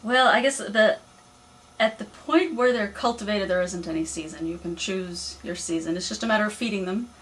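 A young woman farmer answering interview questions in a small cluttered office, calm and plain, explaining step by step. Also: mid-range voice, normal speed, rough recording. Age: young adult. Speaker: mushroom and poultry farmer.

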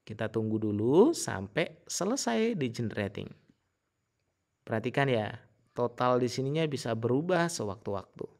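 Man, animated pitch, gravelly edge, informal tutorial host. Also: moderate pace. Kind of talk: casual screen-recorded software tutorial.

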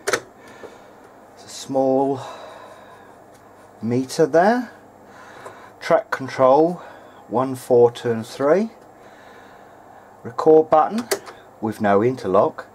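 A man's voice: mid-range voice, animated, extremely slow, long pauses, darkish tone, a little hiss or hum. A man thinking aloud in a hesitant way as he gives casual hands-on repair commentary at an indoor workbench. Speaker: hobbyist repairer presenting a video diary.

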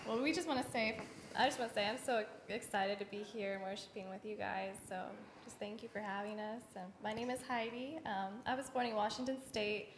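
A woman's voice: medium pitch, steady tone, fairly quick, hardly any pauses. Young woman introducing herself in church. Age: 20s. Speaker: guest's wife. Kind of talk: self-introduction. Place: church hall. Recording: echoey hall, light noise.

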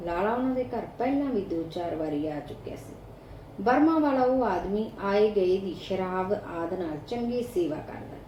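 A woman's voice: mid-range voice, lively intonation, moderate pace, mellow, dull audio. A woman with an Indian Punjabi accent narrating a short story from a script, as in an audiobook. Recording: roomy echo, faint background noise.

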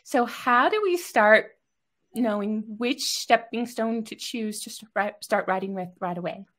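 A woman's voice: fairly high pitch, a little slow, fairly bright tone. A middle-aged woman hosting, presenting and interviewing in a conversational way from a home room. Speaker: host.

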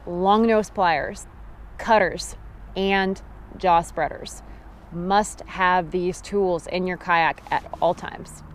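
A woman in her thirties giving a casual, practical how-to talk to a handheld action camera on a river gravel bank, firm when stressing safety rules. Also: lowish voice, steady tone, takes it slowly, slight background noise. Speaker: fishing vlogger. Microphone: action camera held at arm's length.

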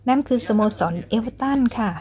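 A young woman hosting a casual tour vlog, narrating politely and chattily, and speaking English with a Thai accent when she switches. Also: brisk. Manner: polite, chatty, adds frequent soft sentence-end particles. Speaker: vlog host and tour guide. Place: football stadium museum indoors.

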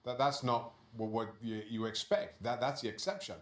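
Man on a football panel, measured and thinking aloud, roomy studio sound. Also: medium pitch, expressive. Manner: measured, repeats words as he thinks. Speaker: football pundit. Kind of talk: sports panel discussion.

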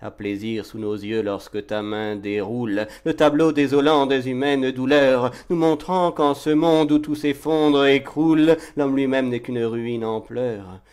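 A man reciting poetry in a dramatic, declamatory and impassioned way, swelling from hushed to forceful phrases. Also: mid-range voice, steady tone, measured pace, hardly any pauses, smooth. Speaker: poetry reader.

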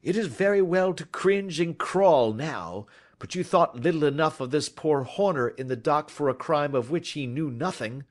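A man voicing a stern, commanding detective in an audiobook, measured, authoritative and sardonic as he accuses. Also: fairly high pitch, big pitch swings, pitched above the performer's own voice. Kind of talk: classic detective audiobook character acting.

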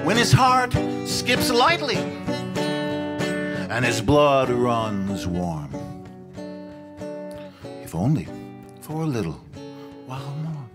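An older man, a singer-songwriter, singing his own songs into a stand mic on a small cafe stage, with soft, halting spoken introductions between songs. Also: mid-range voice, sing-song. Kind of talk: singing original songs with acoustic guitar. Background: his own acoustic guitar.

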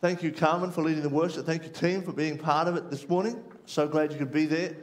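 A man's voice: fairly high pitch, steady tone, fast talker. A man in his forties, a pastor, welcoming people and opening a sermon in a friendly, chatty, self-deprecating way from the stage of a large church hall.

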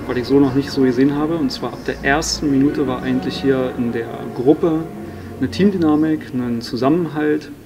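A man's voice: medium pitch, background noise. Earnest man praising a seminar. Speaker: seminar participant. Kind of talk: interview testimonial. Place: indoor sports hall. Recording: distant mic, music bed.

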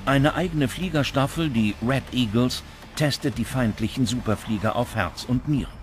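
A man reading scripted documentary narration with dramatic, measured phrasing. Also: mid-range voice. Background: background music.

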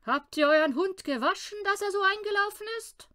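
Lady doing a bossy little braggy storybook man, loud, high, bouncing all over. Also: animated, a little fast. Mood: boastful, exclaiming. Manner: loud, pompous, given to pouting and bragging. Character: boastful, bossy, excitable little flying man.

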